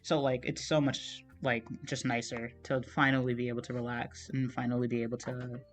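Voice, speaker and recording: A young man streaming as he draws, chatting casually in a meandering, soft, self-deprecating way, with plenty of fillers and trailing thoughts. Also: mid-range voice, level tone, a little fast, faint music behind. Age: young adult. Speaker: art streamer. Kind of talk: casual chat while drawing on a livestream.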